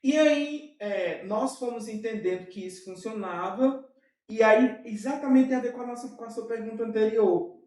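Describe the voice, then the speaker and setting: Brazilian guy chatting in an interview, animated, lots of asides, sounds far from the mic, really echoey room. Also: high-pitched. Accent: Brazilian Portuguese. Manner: animated, with frequent fillers and quick asides. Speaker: interview guest, entrepreneur. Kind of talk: casual storytelling in an interview.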